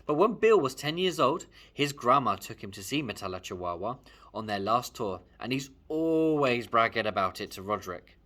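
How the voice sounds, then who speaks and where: Male read-aloud narrator, lively pitch movement, slightly rough voice, emphatic first-person storytelling. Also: normal speed. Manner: animated, emphatic. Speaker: storyteller reading a children's book aloud.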